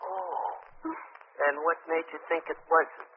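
An actor playing a cold, menacing gangster interrogator, curt and brisk, in 1940s radio drama style. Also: male voice, a little high, big pitch swings, vocal fry, full character voice. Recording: tinny, narrow, hissy old radio recording.

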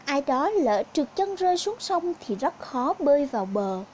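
A woman's voice: high voice, sing-song, normal speed, a little mellow, slight background noise. A woman narrating scripted fairy tales for young children as a storyteller.

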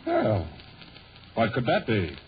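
A man's voice: on the low side, huge pitch swings, slow pace, soft background music, heavily acted. A man playing a stern, questioning middle-aged father in a 1940s radio sitcom style. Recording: dull, hissy 1940 radio recording.

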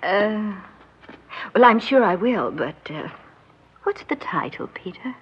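Vain, husky, middle-aged mother. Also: mid-range voice, very animated, slow, exaggerated voice. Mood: evasive, pleasant. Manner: proud, fretful, theatrical. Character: vain middle-aged mother and grandmother dreading old age. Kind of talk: old-time radio drama acting. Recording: muffled old radio recording.

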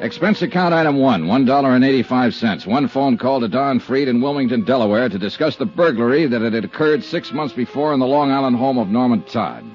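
An actor playing a cool, sardonic insurance investigator in 1950s radio drama, with a clipped, dry, hard-boiled manner. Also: male voice, medium pitch, lively intonation, brisk, hardly any pauses, natural voice. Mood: brisk, businesslike. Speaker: lead actor and narrator. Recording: muffled 1950s radio recording with music.

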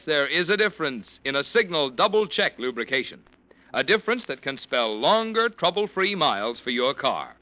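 Bright, animated American vintage radio announcer, male. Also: fairly high pitch, low-quality recording. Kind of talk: polished 1940s radio announcing and sponsor copy.

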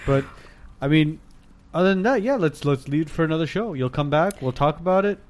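A man with an American accent hosts a podcast in a casual, chatty style. His voice sits on the higher side for a man. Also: lively intonation, a little fast. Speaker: podcast host. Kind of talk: casual chat.

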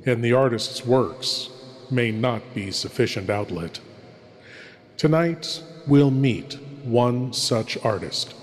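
A man playing a courtly, sinister horror host who delivers grand, ominous introductions with dramatic pauses. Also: mid-range voice. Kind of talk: theatrical scripted horror introduction. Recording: long reverberant hall.

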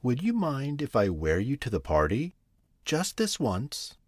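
Bashful little girl frog as a man puts her on, sweet and pleading, raised pitch, close dry sound. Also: wildly swooping pitch, unhurried, dry recording. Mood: excited. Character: shy little freckled girl frog, eager to please.

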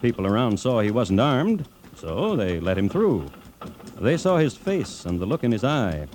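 A macabre American raconteur on a 1950s radio crime series. His voice is lowish and mellow, moving widely in pitch as he narrates with deliberate, dry irony. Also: sing-song, slight background noise. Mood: wry, grave. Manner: deliberate, wry, dramatic pauses. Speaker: host and narrator.

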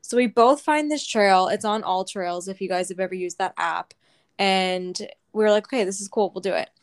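A young woman co-hosting a podcast, telling a story in a casual, animated way and swearing freely. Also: medium pitch, moderate pace. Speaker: podcast co-host.

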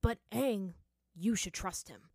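A woman's voice: mid-range voice, takes it slowly, dark tone, no echo, clean studio sound. A woman voicing a stern, scarred man who gives insistent, impatient guidance in a story. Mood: firm, earnest. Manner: clear, unchallenging, insistent. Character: stern scarred older young man, firm, impatient guide.

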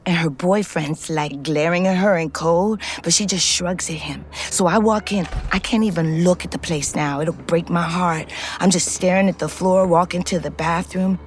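A woman's voice: fairly low pitch, moderate pace, slight background noise, natural voice. An actress playing a foul-mouthed, middle-aged ex-convict, telling her story in a confiding, conversational way. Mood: wry, confiding. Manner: streetwise, blunt, confiding. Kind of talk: scripted first-person narration, conversational.

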